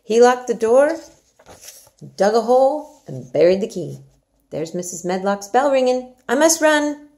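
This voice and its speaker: A woman's voice: on the high side, sing-song, unhurried, warm tone, pitched above the performer's own voice. A woman reading storybook dialogue as a hearty, outspoken young housemaid who scolds in a blunt, emphatic way. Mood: confiding. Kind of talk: storybook dialogue read aloud.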